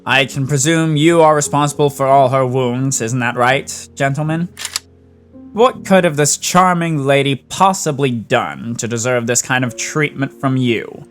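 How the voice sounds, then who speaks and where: Man acting suave, courtly gentleman hunter over music. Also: medium pitch, unhurried, close to an everyday voice. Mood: coldly polite. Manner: genteel, wordy, calls people dear and darling. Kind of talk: dramatic comic dub acting. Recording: voice over clear background music.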